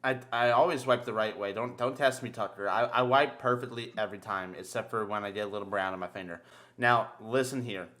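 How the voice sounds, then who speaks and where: Bright-voiced, foul-mouthed man telling stories. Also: on the low side, fairly quick. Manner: crude, foul-mouthed, animated storyteller. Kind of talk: casual bantering podcast chat and storytelling.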